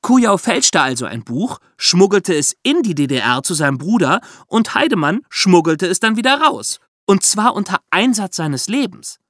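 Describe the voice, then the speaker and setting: A man hosting a podcast, explaining true crime history as lively, conversational storytelling. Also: high-pitched, normal speed, hardly any pauses, no echo, clean studio sound.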